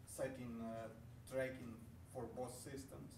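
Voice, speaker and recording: A man in the audience asking a question, halting with many pauses and restarts. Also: mid-range voice, level tone, a little mellow. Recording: distant, roomy, noisy audience mic.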